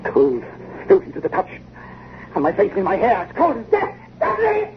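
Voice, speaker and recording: Urgent time traveller, noisy old recording. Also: male voice, high-pitched, wildly swooping pitch, moderate pace, full character voice. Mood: alarmed. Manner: urgent, theatrical. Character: earnest male time traveller recounting his adventure. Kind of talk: dramatic old-time radio narration and acting. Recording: noisy, muffled vintage radio recording.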